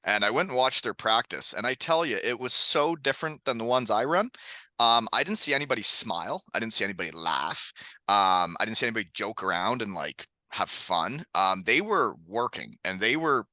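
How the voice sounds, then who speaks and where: A man's voice: on the low side, expressive, moderate pace, bright, forceful tone, dry recording. A man hosting a casual podcast chat, telling stories with frequent laughs. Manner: chatty storyteller, laughs often. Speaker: podcast host, hockey coach.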